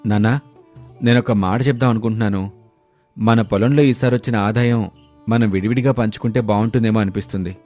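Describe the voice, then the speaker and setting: A man's voice: fairly low pitch, steady tone, brisk, a little mellow, faint music behind, close to an everyday voice. A man playing an earnest, pleading, ambitious younger brother from a village family in a moral story. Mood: discontented, earnest. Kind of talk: moral story character dialogue.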